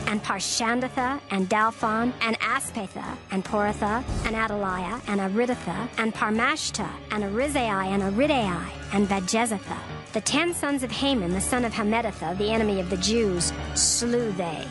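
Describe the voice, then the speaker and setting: A woman narrating scripture in a steady, formal reading with careful pacing. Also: medium pitch, moderate pace, few pauses, music in the background.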